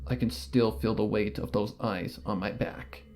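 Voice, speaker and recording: A man narrating a fan fiction story in the first person, in a measured, earnest storytelling manner. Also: lowish voice, steady tone, darkish tone, faint music behind.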